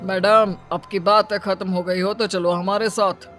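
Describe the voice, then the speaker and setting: A woman's voice: mid-range voice, level tone, measured pace, a bit bright, soft background music, close to an everyday voice. A woman voicing a stern, unyielding police inspector with an official manner in a cartoon. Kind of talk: cartoon story dialogue.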